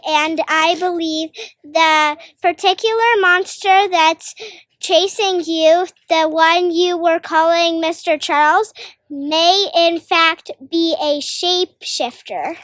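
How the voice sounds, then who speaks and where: Child reader, high voice, slow and halting delivery of storybook dialogue. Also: pushed and bright, no room sound. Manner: sounds out words haltingly, needs prompting. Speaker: young volunteer reader of character dialogue.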